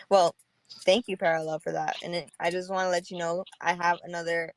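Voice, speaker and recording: A woman's voice: fairly low pitch, little pitch movement, moderate pace, dry recording, poor audio. A young woman hosting a podcast in casual chat, talking freely, laughing often and saying "like" a lot. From New York. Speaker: podcast host. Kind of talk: casual chat on a live audio panel.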